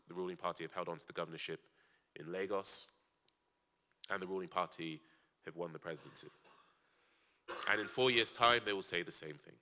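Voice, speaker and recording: A man speaking at a conference panel, deliberate and posing rhetorical questions. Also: deep voice. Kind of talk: public talk at a conference. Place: conference in Germany. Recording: dull lo-fi sound.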